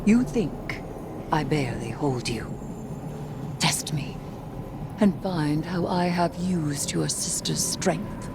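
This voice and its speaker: Low, solemn dark sorceress. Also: female voice, background noise. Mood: proud, challenging. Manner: solemn, deliberate, formal. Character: grave, resolute dark sorceress, proud and vengeful. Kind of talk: dramatic video game dialogue.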